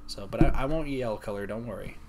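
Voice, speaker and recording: A young man streaming a game late at night, talking softly and calmly, almost whispering so as not to wake anyone. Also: lowish voice, a little fast, gravelly, background noise. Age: young adult. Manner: soft, calm, near-whispering. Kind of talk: casual gaming stream chat. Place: room at home, late at night.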